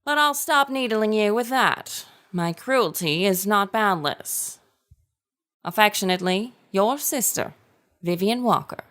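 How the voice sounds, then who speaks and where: A woman's voice: mid-range voice, animated, unhurried, fairly bright tone. An actress playing an affectionate, teasing sister in a period audio drama, reading her letters aloud with composed, formal diction.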